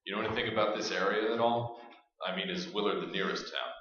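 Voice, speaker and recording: A man's voice: steady tone, fast, bright, forceful tone. A man playing a determined, shaken, brooding survivor of a ghoul outbreak in a staged radio play. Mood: practical. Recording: boomy echoing stage hall, dull sound.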